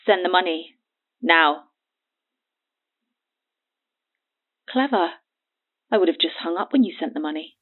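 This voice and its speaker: Over a tinny, narrow phone line comes a cagey, sardonic woman with a lowish voice. She talks with haughty mockery, needling whoever listens, in natural audio drama style. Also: expressive, moderate pace. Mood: bargaining, curt. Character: Birdie, cagey mocking woman selling her testimony. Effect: phone line filter.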